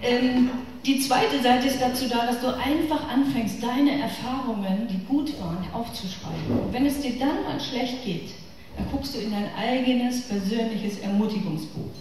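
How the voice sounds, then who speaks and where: Woman, middle-aged, northern German accent, informal and direct, very reverberant room, clear background noise. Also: a little high, normal speed. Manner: warm, chatty and informal, addressing listeners directly. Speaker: guest speaker at a women's church gathering. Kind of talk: personal faith talk. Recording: echoey hall from far off with room noise.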